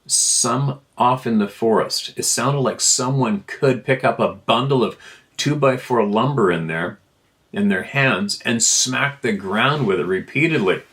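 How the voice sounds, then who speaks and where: A man's voice: medium pitch, a little slow, fairly bright tone. A man reading a first-person account aloud in an informal storytelling style. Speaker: narrator reading listener emails.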